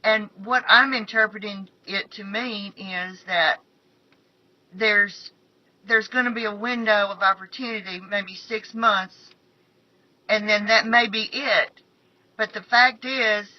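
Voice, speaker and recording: Middle-aged female storyteller, slightly raspy, medium pitch, halting and emphatic, dry lo-fi phone sound. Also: no room sound. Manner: halting, repeats phrases emphatically. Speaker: channel host. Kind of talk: personal storytelling, recounting a prophetic dream. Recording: dull lo-fi phone recording.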